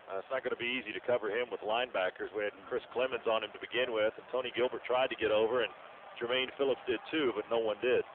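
A male color commentator explains American football plays at a brisk clip, in a mid-pitched voice. The radio sound is narrow, with clear noise behind him. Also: fairly even intonation. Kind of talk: live American football analysis. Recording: noisy, phone-like stadium radio broadcast. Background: stadium ambience.

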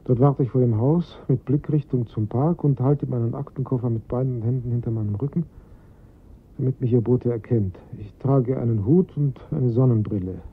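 An actor playing an anguished, blackmailed father in a radio crime drama, speaking in a strained, halting way. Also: medium pitch, breathy edge. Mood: tense, submissive. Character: desperate, blackmailed father, an anguished office man. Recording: dull, lo-fi radio play recording.

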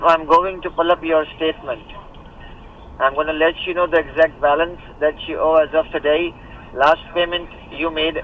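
A man's voice: a little high, recorded from a distance, a little hiss or hum. Formal man on a muffled phone line. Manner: smooth, formal scripted delivery. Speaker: scam caller. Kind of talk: scripted phone pitch. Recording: muffled phone audio over car speaker.

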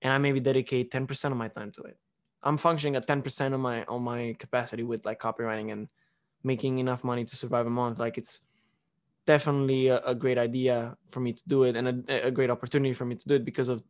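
A young man from Romania talks casually on his own podcast, recorded at home on a close studio mic. His voice sits at an average pitch for a man. He rambles with fillers and restarts as he tells his story. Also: flat delivery, quick pace. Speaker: podcast host. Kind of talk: casual chat. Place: living room at home. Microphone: studio mic on boom arm, close.

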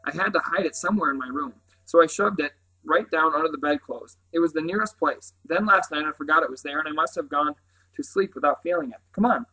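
Man voicing an earnest, high-pitched excitable schoolgirl. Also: fast, rough recording. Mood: babbling relief. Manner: earnest, babbling when excited. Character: schoolgirl with a ponytail, excitable but sensible. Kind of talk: audiobook character voice.

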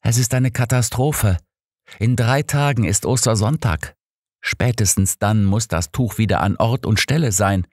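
A man's voice: fairly low pitch, a little slow, a little mellow, dry recording, studio quality, pitched above the performer's own voice. A man voicing a distraught, devout cardinal in an audiobook, speaking formally with grave, pleading earnestness. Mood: distressed, pleading.

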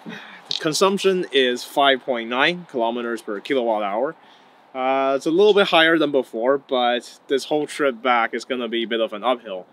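A man in his thirties filming an electric car road-trip vlog at highway rest stops, talking to camera in a relaxed way with lots of "uh" fillers. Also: moderate pace. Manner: relaxed, frequent "uh" fillers, matter-of-fact. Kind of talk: casual travel vlog to camera. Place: outdoors at highway rest stops and charging stations. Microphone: camera mic, filmed at close to mid range. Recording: outdoor camera audio with clear background noise.

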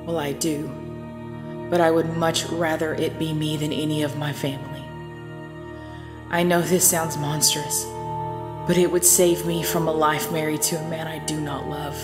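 Casting: actress for grave, self-sacrificing young woman, old-fashioned formal speech, low register, music behind, audio drama. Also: flat delivery, normal speed, lightly acted. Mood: grave, resolute. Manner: solemn, formal diction. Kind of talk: ASMR audio drama acting. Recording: long reverb under new-age music.

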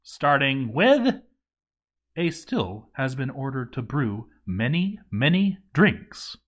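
A man performs a boozy zombie dwarf overseer reading out his game journal. The delivery is hammy and exasperated, full of stammers. It moves slowly, with big swings in pitch at a medium male register. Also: big pitch swings. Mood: hammy, demanding.

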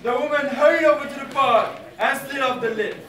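A man's voice: extremely high pitch, brisk, crowd noise. A young man reading the narration of a story as a student in readers theatre. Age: young adult. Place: large lecture hall, far camera in audience. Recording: very echoey lecture hall, distant, audience murmur.

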